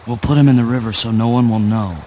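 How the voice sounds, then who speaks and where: A man's voice: medium pitch, fairly even intonation, fast talker, mellow, lightly acted. Guy acting as an urgent dramatic dad, panicky and defiant, radio play style, bit of watery noise behind. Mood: panicked urgency. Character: frantic, defiant father hiding corpses in a river.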